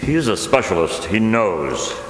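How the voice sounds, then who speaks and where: A man acting in a radio drama as a grave, dutiful older clergyman, earnest, measured and admonishing. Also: lowish voice, big pitch swings. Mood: grave pleading. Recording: echoey hall with faint noise.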